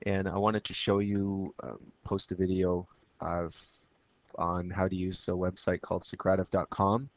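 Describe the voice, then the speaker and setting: Man with a cold explaining software. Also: low-pitched, no echo. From Canada. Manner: casual, explanatory, with frequent fillers and restarts. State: has a cold, slightly raspy. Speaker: teacher. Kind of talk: screen-recorded software tutorial.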